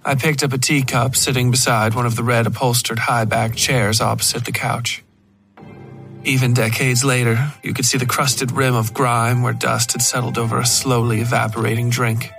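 Guy reading a horror story in first person, medium pitch, bit of music behind. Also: level tone, moderate pace, gravelly, a bit bright. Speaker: narrator.

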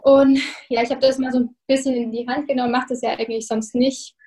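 A woman's voice: sits high, level tone, quick pace, mellow, recorded from a distance. A twenty-two-year-old woman from Thuringia introducing herself cheerfully as a podcast guest. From Lauscha, Germany. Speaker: ski jumper, podcast guest. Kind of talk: casual self-introduction.

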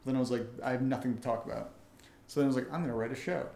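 A man co-hosts a casual question-and-answer podcast. His pitch is middling, and the room he records in adds clear echo. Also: steady tone, very fast, a little mellow. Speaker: podcast host and voice actor. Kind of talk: casual chat, Q&A. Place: indoor room, live-streamed session. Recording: echoey room.

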